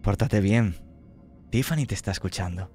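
Man playing a low, slow, menacing villain. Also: darkish tone, soft background music, natural voice. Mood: coaxing menace. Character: menacing, persuasive male villain bargaining calmly.

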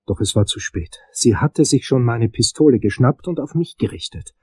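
An actor playing a hard-boiled detective, narrating his case in a deliberate, theatrical crime radio drama style. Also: mid-range voice, animated, darkish tone, slightly rough, no room sound. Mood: suspenseful. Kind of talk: noir first-person crime drama narration.

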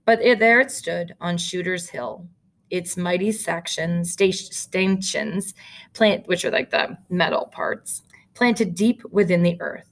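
A middle-aged woman teacher reading a storybook aloud, with deliberate, explanatory asides. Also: fairly low pitch, creaky. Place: small indoor room with photo-covered wall.

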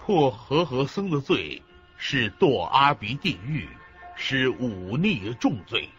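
A grave reciter of ancient precepts declaims slowly for a radio drama. The voice is bright and forceful with big swings in pitch, over faint music. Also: male voice, very animated. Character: forceful male voice reciting old sayings and precepts. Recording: dull lo-fi audio with background music.

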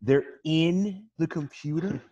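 A man acting a dim, excitable male fashion model, earnest and easily frustrated, in an exaggerated, dramatic read over a video call. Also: fairly high pitch, takes it slowly. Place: home, remote video call. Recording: dull, lo-fi video call audio.

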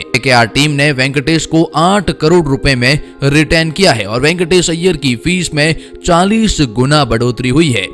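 A young man reading a scripted cricket news voice-over in a brisk, emphatic way, with asides to viewers. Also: on the high side, fairly bright tone. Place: voice-over, not in pictured place. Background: faint background music.

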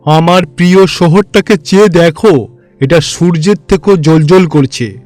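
A man's voice: on the high side, a little slow, a little mellow, dry recording, soft background music, natural voice. A man voicing a vain, boastful god of wealth in a theatrical cartoon fairy-tale style. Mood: proud, gloating. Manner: pompous, gloating, theatrical. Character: boastful, vain rich god of wealth, fawning before superiors. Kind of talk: Bengali animated fairy-tale voice acting.

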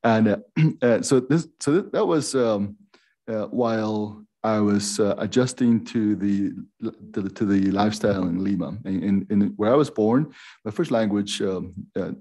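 A man tells his own story at an easy, halting pace. His low voice has a gravelly edge and a dark, mellow tone, and he trips over fillers as he goes. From Peru. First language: Quechua. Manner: hesitant, many fillers and restarts. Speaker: panelist, aerospace company CEO. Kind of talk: personal story on a panel.

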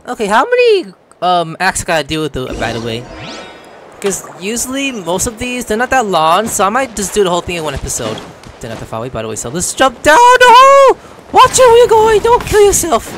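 A young man hosting a casual let's play, playful and animated, talking and reading dialogue aloud into a close microphone. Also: sits high, a little fast, background noise. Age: young adult. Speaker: gaming video host. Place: small room at home. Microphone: close desk microphone with headset. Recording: game music behind.

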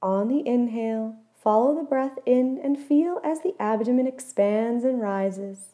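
Higher-pitched, mellow woman guiding meditation. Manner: gentle, measured instruction with soft phrase endings. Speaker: mindfulness meditation guide. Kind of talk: guided body scan meditation.

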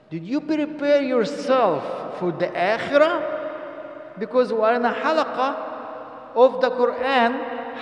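An older man giving a Friday sermon in a mosque at a stand microphone, earnest and exhorting, in non-native English with Arabic phrases mixed in. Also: very high voice. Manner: earnest, exhorting, rising to emphatic stress, asks the congregation questions. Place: mosque prayer hall, indoors. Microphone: stand microphone at a lectern. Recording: echoey mosque hall.

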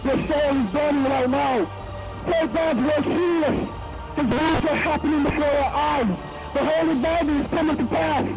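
A man's voice: very high-pitched, poor audio. A man street preaching outdoors on a rainy city corner, urging passersby with repetitive calls to repent. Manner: urgent, repetitive exhortation. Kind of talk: open-air street preaching.

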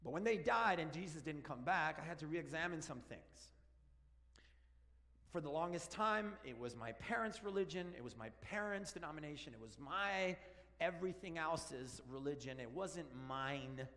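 Spanish-native guy in his 40s preaching, kinda high voice, big church echo. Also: normal speed. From Argentina. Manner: animated and joking, walks around stage. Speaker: pastor. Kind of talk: sermon. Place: large church sanctuary. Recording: long church hall reverb.

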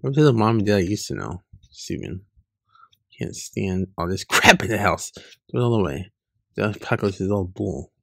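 A man with a husky, fairly low voice reads comic dialogue aloud. He loosely acts out the lines in an informal, casual way. The sound is close and dry, with a gravelly edge. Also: animated, moderate pace, a bit bright, no room sound. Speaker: online comic reader. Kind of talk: reading webcomic dialogue aloud with casual reactions.

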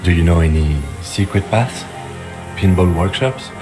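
A man's voice: low-pitched, unhurried. An actor playing a polite, probing male police investigator in video game dialogue. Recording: music under the voice. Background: background music.

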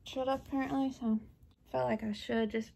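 A woman's voice: high voice, steady tone, normal speed, creaky, dark tone. A woman vlogging from her living room floor, talking candidly and bluntly in a rambling way. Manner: blunt, rambling, laughs nervously. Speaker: book vlogger. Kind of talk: candid personal talk.

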